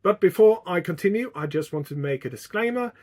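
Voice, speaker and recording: Middle-aged man, Swedish accent, slightly high voice, casual music vlogger, reminiscing to viewers. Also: lively intonation, brisk, a little mellow. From Sweden.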